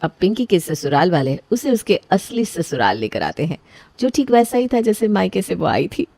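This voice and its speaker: A woman narrating an animated story in a steady, matter-of-fact storybook manner. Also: fairly low pitch, normal speed, no room sound.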